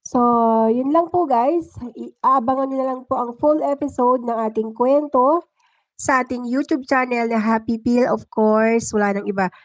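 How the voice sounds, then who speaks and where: A woman's voice: on the high side, fairly even intonation, moderate pace, no echo. A woman with a Filipino accent, working as a storyteller and host on a livestream. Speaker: storyteller and live-streaming channel host. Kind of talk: dramatic first-person story narration; casual livestream chat with viewers.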